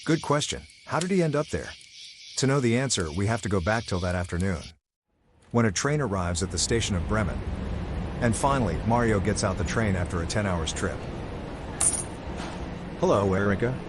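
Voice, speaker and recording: A man's voice: lowish voice, animated, fairly quick, noisy background. A man who speaks English as a second language, narrating a scripted story in a theatrical, suspenseful way. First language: not English.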